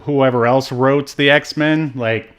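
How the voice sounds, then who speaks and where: A man co-hosting a podcast, chatting casually about films in a halting, rambling way. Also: mid-range voice, fairly even intonation, fairly bright tone. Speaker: podcast co-host. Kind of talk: casual movie discussion chat.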